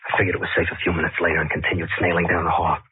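An actor playing a nervous, obsessive killer who confides in a rambling, conversational way, in the manner of 1940s radio suspense narration. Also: male voice, deep voice, level tone, rapid-fire, soft background music, lightly acted. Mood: hushed, deliberate. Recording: scratchy, tinny 1940s radio recording.

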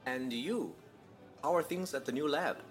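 A man's voice: medium pitch, normal speed, soft background music, close to an everyday voice. A man playing a genial, proud father in his fifties in a naturalistic audio drama, wry and courteous. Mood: interested.

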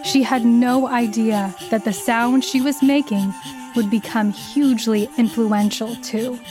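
A woman with a fairly high voice narrates a children's biography in a scripted storytelling style. Music plays clearly behind her words. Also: a little slow.

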